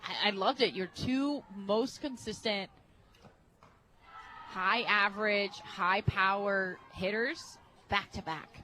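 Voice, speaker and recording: A female softball analyst with a medium-pitched voice talks from a radio booth. She explains tactics informally, in a conversational style. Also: takes it slowly, low-quality recording. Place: outdoor ballpark radio booth.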